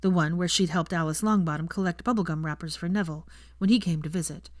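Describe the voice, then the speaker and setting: Low-voiced woman narrating, very dry sound. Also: fast, no room sound. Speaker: fan fiction narrator. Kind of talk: scripted story narration.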